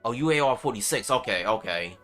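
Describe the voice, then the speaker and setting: A man's voice: mid-range voice, expressive, fairly quick, a bit bright, soft background music. A man livestreaming a game with casual commentary, muttering short exclamations to himself and chatting to viewers. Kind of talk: casual live gameplay commentary.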